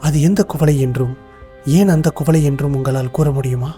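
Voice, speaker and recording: An actor voicing a wise, cautious royal minister in a cartoon, speaking formally and in a measured way. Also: male voice, medium pitch, mellow, faint music behind, close to an everyday voice. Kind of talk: cartoon voice acting.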